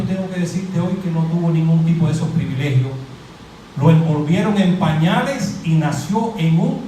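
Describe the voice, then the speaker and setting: A middle-aged Cuban man preaching a lively sermon, quizzing his congregation and joking as he goes. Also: a little high, slight background noise. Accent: Cuban Spanish. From Cuba. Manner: animated, asks the crowd questions and jokes. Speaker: pastor. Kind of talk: interactive sermon, calling on the congregation. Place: church hall. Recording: very echoey hall, mic at a distance.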